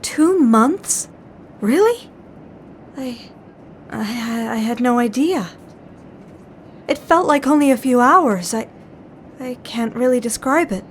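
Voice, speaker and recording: A woman playing a troubled, gentle young telepath in an audio drama, speaking earnestly and introspectively. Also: on the high side, lively intonation, slow, pauses often, slight background noise. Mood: hesitant, shaken.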